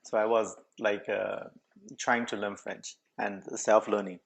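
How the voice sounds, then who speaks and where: Halting man chatting casually. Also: lowish voice, little pitch movement, gravelly, husky. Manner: halting, restarts often, frequent "yeah, yeah". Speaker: podcast host. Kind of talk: casual conversation.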